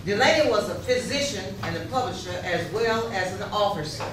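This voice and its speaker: A woman's voice: medium pitch, lively intonation, brisk. A woman reading scripted historical narration aloud as a narrator in a readers theater. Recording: distant, echoey hall, clear noise.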